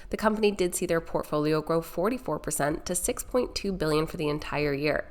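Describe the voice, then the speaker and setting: A woman's voice: deep voice, a little fast. A young woman hosting a stock market video, sounding nasal and congested from a sinus infection, presenting in an upbeat way that speaks straight to viewers. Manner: upbeat, direct address to viewers. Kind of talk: scripted market news presenting.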